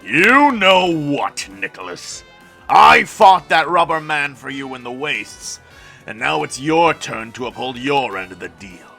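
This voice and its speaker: A menacing, proud sea warrior prince in an audio drama. He speaks in grand, formal threats with a forceful, sharp voice and dramatic rises and falls. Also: medium pitch, sing-song, a little slow, gravelly, soft background music, heavily acted. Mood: imperious anger. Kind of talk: cinematic audio drama acting.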